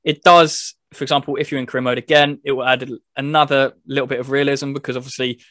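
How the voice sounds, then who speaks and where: Casting: male gaming review host, average pitch, dry recording, informal and meandering delivery, somewhat hesitant. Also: level tone, fast, fairly bright tone, dry recording. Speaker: video host reviewing a football game. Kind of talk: casual chat.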